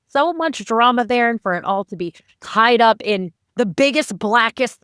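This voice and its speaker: A woman's voice: medium pitch, fairly bright tone, dry recording, clean studio sound. A woman hosting a podcast, interviewing her guests in a conversational way. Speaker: podcast host.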